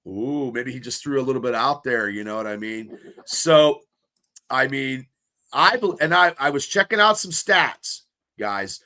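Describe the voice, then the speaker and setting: Excitable, stuffy-nosed sports podcaster man. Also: medium pitch, a little slow. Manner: excitable, rambling with many restarts and fillers. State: stuffy nose. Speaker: podcast co-host. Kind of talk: casual sports podcast chat.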